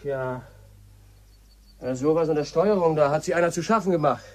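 An actor playing a plain-spoken, gossipy village car mechanic in a radio play, chatting colloquially. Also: male voice, fairly high pitch, moderate pace, no room sound, natural voice. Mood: puzzled. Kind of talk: German radio play acting.

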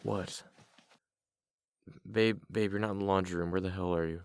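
A man playing a playfully exasperated, affectionate husband in a boyfriend ASMR roleplay, with a deep, husky voice and a halting, casual way of talking. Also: fairly even intonation, darkish tone, slight background noise. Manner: halting, casual, muttering with repeated words.

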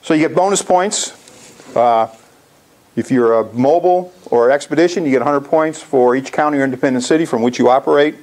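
A man's voice: mid-range voice, a little fast, darkish tone. An American man in his sixties explaining things casually as he presents slides to a club in a lecture hall. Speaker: contest organizer presenting to a club.

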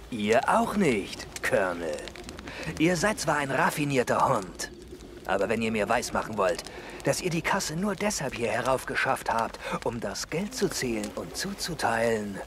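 A bold outlaw soldier in a radio play, theatrical and taunting, yet honourable. His mid-pitched voice swings widely, with ambient sound clearly behind it. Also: big pitch swings, unhurried, noisy background. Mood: mocking, threatening. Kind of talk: German radio drama acting.

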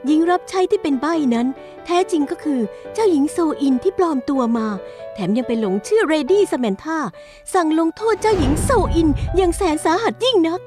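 Woman narrator, grand and theatrical, very high voice, music underneath. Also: lively intonation, fairly quick. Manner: grand, theatrical announcer delivery. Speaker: narrator of a drama within the cartoon. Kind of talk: dramatic scripted narration.

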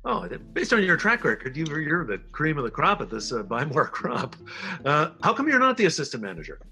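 A man's voice: on the high side, huge pitch swings, fairly quick, bright, forceful tone, exaggerated voice. A man acting a scheming undercover spy villain who poses as a boss, in a script read. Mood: eager, friendly.

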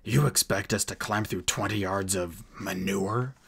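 A man's voice: low voice, a little slow, a bit bright, breathy edge, heavily acted. Male narrator doing irascible commander, growly, clipped, sarcastic, stern, storybook character. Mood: exasperated.

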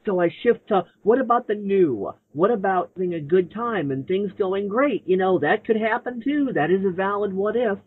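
A man speaks candidly and with self-deprecating humor, his high voice swinging widely in pitch. His voice is husky and comes through a narrow, tinny phone line. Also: moderate pace, hardly any pauses. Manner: candid, animated, self-deprecating, laughs often. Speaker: caller. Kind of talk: casual chat.

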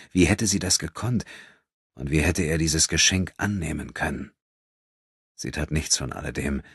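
A man's voice: very deep voice, fairly bright tone, husky, no echo, clean studio sound. A man reading a novel as a dramatic literary audiobook narrator.

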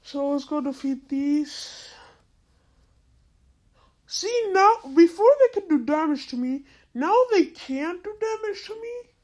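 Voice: high voice, expressive, slow, darkish tone. A female-sounding voice through a voice changer, giving casual gameplay commentary in an exclamatory, incredulous, slangy and repetitive way. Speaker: gaming video host.

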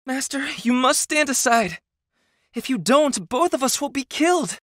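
A woman playing a gentle, sorrowful young prince in a video game, speaking earnestly and formally. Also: high-pitched, animated, moderate pace, no echo, clean studio sound. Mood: earnest pleading. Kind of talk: dramatic video game voice acting. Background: loud music.

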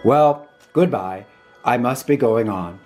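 A simple, good-natured storybook bear, voiced by a woman. The delivery is slow and hesitant, a little plaintive, with lively rises and falls. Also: a bass voice, faint music behind, heavily acted. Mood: hesitant, polite. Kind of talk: children's storybook character voice.

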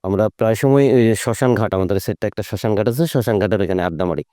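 A man's voice: on the low side, lively intonation, racing, dark tone, no room sound, clean studio sound. A man from Bangladesh with a Bangladeshi accent, chatting casually in a group discussion. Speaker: participant in a group chat. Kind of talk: casual chat.

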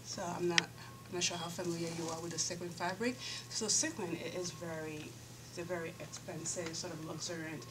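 A woman's voice: lowish voice, fairly even intonation, fairly quick. A woman in her thirties, a fashion designer answering interview questions thoughtfully, with many fillers and restarts, seated in a showroom. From Nigeria. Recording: distant mic, loud background hiss.

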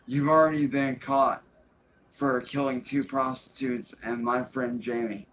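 An enraged man rants at the camera, swearing and hammering each warning home. His voice is of middling pitch and reaches us as dull, lo-fi livestream audio with a faint hiss under it. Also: fairly even intonation, a little slow, a bit bright. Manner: threatening, profane, emphatic. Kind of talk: angry livestream rant.

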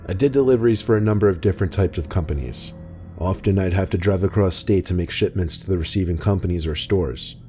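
A man reading first-person scary stories in a calm, measured scripted narration. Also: deep voice, a little hiss or hum. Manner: calm, measured storytelling delivery. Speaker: horror story narrator. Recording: music bed underneath.

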